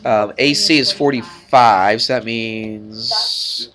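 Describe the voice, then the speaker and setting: A man's voice: medium pitch, takes it slowly, sharp tone. Congested man teaching math informally. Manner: informal, checking with frequent questions. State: congested, stuffed-up nose. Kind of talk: classroom worked-problem lesson. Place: classroom.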